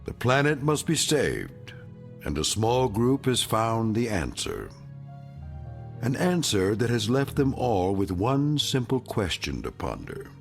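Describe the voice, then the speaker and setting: A man narrating an anthology drama from a script in an ominous, measured manner. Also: fairly low pitch, huge pitch swings, vocal fry, faint music behind.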